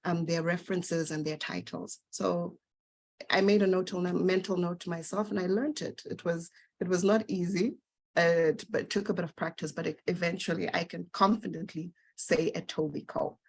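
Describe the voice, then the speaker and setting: A woman facilitating an online workshop, talking in a measured, gentle way with frequent fillers. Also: on the low side, fairly even intonation, creaky.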